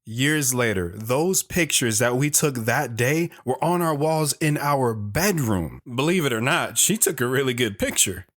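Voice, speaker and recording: A man's voice: medium pitch, animated, a little slow, hardly any pauses, a bit bright. A man playing a shy, hesitant man recalling his past. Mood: reflective, shy. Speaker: narrator and guest. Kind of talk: audio drama narration.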